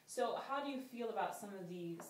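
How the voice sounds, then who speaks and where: A woman interviewing a job applicant, brisk and saying okay often. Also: medium pitch, expressive, a little hiss or hum. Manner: brisk, many okays. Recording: echoey room from far off.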